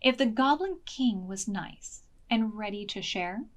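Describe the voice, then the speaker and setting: A woman reading a picture book aloud to preschoolers in an expressive, deliberate way, as a storytime librarian. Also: mid-range voice. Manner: slow, deliberate, expressive, pausing to ask questions.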